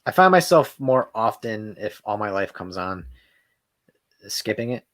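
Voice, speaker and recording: A joking guest with a lowish male voice, playful and quick to tease in a casual chat. The sound is close and dry. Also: very animated, fairly bright tone, no room sound.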